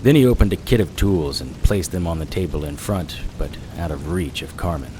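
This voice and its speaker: A man's voice: deep voice, a little fast, warm tone, a little hiss or hum, lightly acted. A man playing a terse, hard-boiled private investigator who narrates his own noir audio drama with grim intent. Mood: grim narration.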